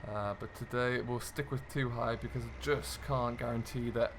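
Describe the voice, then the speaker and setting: A man's voice: medium pitch, fairly even intonation, moderate pace. A British man giving casual, explanatory gameplay commentary, musing aloud as he goes. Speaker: video game streamer, host. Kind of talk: casual commentary over farming simulator role play. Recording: clear engine and vehicle noise behind. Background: game tractor and loader engine sounds.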